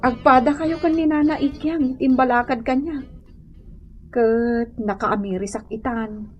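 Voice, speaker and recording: Radio drama role, female performer: reformed nagging Ilocano wife, high voice, slow hesitant delivery. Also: fairly even intonation, lo-fi sound, soft background music, natural voice. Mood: contrite. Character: chastened nagging wife trying to mend her ways.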